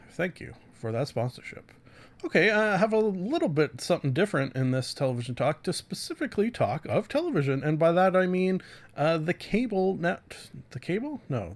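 A man hosting a podcast solo, giving casual reviews in a halting way, often interrupting and correcting himself. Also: sits high. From Canada. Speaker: podcast host.

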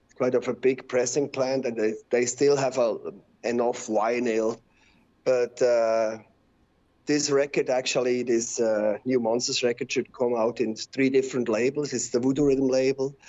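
A man from Switzerland with a Swiss German accent, a musician answering interview questions in halting, searching English. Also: medium pitch, darkish tone, highs cut off. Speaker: musician and label owner, guest.

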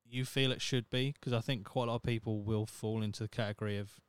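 Brit guy, 30, rambly sweary podcast host, smooth voice, dead dry close mic. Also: on the low side, fairly even intonation, quick pace, no echo. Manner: rambling, sweary, wry and self-mocking. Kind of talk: casual solo podcast monologue.

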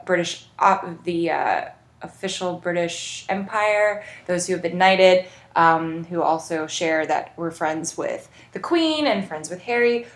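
A woman's voice: fairly low pitch, measured pace, roomy sound. A woman hosting a podcast, chatting casually through celebrity news with informal, gushing, rambling asides. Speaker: podcast host.

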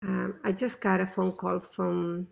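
Gravelly-voiced woman with a thick accent. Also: fairly low pitch, unhurried. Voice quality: speaks with a thick non-native accent. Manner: animated, emphatic storytelling. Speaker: interview guest, mother. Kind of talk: conversational interview.

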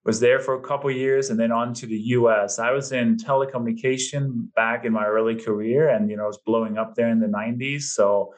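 Danish-accented man in his fifties, podcast guest, relaxed storyteller with a mid-range voice. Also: medium pitch, brisk, hardly any pauses, rough recording. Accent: slight Danish. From Denmark. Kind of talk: casual interview chat.

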